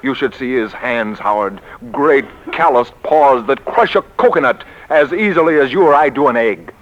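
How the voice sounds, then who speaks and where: An actor playing an excitable, bombastic American publishing executive in a 1940s radio comedy, with theatrical, grandiose emphasis. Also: male voice, mid-range voice, normal speed, natural voice. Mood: boastful. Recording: muffled 1940s radio recording.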